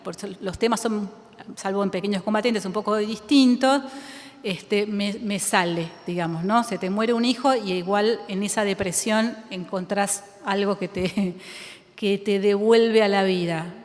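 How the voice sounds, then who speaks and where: A woman's voice: medium pitch, fairly even intonation, measured pace. Argentine woman writer chatting onstage, lots of ums and restarts, laughs a lot, echoey hall. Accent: Argentine Spanish. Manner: thinks aloud with many fillers and restarts, laughs often. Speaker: writer in a public conversation. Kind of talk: reflective conversational talk. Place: school auditorium with audience. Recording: reverberant hall.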